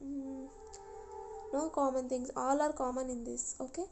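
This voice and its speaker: A woman's voice: high voice, level tone, darkish tone, faint music behind. A young woman, casually explaining exam questions step by step to her viewers. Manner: walks through each question slowly and patiently. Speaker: YouTuber sharing exam tips. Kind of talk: casual tutorial.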